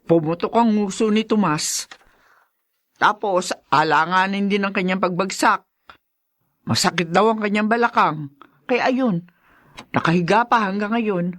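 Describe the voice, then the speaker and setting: A woman's voice: medium pitch, a little slow, long pauses, fairly bright tone, lightly acted. A woman playing a meek, worried elderly Filipino grandmother in an audio drama. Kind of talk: Filipino radio-style drama acting.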